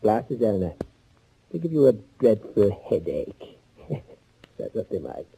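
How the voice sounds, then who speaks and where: An actor playing a smug, sadistic Nazi fugitive who can turn to pleading, in theatrical 1940s radio thriller style with mockingly courteous menace. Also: male voice, fairly low pitch, big pitch swings, takes it slowly, gravelly, dark tone, slight background noise, heavily acted. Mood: mocking, sinister. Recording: muffled 1940s radio recording.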